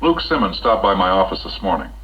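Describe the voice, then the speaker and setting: Casting: kindly chaplain, husky and expressive, earnest counsel, dull old film sound. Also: male voice, low-pitched, heavily acted. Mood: gently probing. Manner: measured, gently probing, earnest. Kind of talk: 1960s educational film acting.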